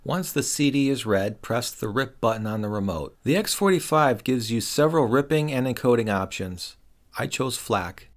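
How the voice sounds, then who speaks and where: A man's voice: medium pitch, expressive, normal speed. A middle-aged man reads a scripted product review voice-over in a wry, opinionated way. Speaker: reviewer and host.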